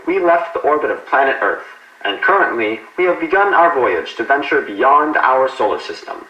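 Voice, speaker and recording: A man's voice: medium pitch, pushed and bright. A man playing a courteous, formal spacecraft captain in an analog horror drama, commanding yet encouraging, in a roomy echo. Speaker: ship's captain.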